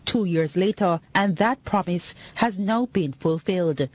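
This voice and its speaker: A woman's voice: lowish voice, measured pace, no echo. A Jamaican woman narrating a scripted television news report.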